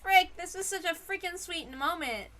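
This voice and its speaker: A woman's voice: very high voice, normal speed, fairly bright tone. A woman reading a story aloud as an amateur narrator, informal and given to apologetic, self-interrupting asides.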